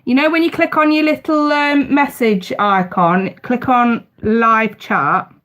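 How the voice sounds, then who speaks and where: A woman's voice: on the high side, a little slow, fairly bright tone. A woman, casually hosting a live tarot stream, chatty and talking straight to her viewers, her voice bunged up with congestion. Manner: chatty, addresses viewers directly.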